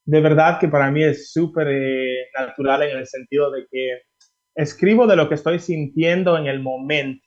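A Dominican-born singer being interviewed, answering in a chatty way with many fillers and restarts. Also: male voice, on the high side, fairly even intonation, moderate pace, lo-fi sound. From Dominican Republic. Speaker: singer guest.

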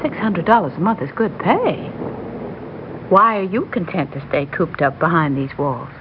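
Woman as plain-spoken female housekeeper, expressive, courteous, 1960s radio drama, dull noisy sound. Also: fairly low pitch, normal speed, natural voice. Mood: frank, questioning. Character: polite but plain-spoken newly hired female housekeeper.

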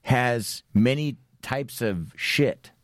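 A man's voice: medium pitch, very slow, no echo, studio quality. A man hosting a podcast, rambling through a casual chat with crude jokes. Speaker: podcast host.

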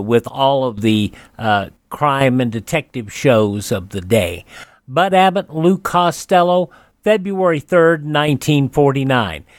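A man's voice: mid-range voice, no room sound, clean studio sound. A man hosting a vintage radio program, presenting shows in a conversational announcer style. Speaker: radio show host.

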